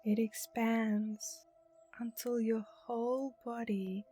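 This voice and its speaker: Slow, mellow woman guiding a meditation. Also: fairly high pitch, level tone. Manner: soothing, hushed, breathy phrase endings. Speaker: guided meditation narrator. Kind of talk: guided meditation, scripted narration. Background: meditation music.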